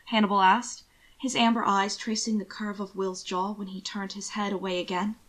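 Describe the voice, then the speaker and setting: A woman narrates a romantic story from a script. Her voice sits at a medium pitch, and she reads in a measured, expressive storytelling way. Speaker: narrator reading fan fiction aloud.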